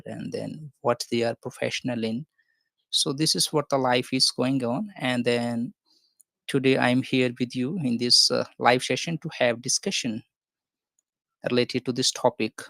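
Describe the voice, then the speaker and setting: Creaky man hosting a live discussion. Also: medium pitch, a bit bright, dry recording. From India. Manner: formal, announcer-like phrasing. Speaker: host, English teacher.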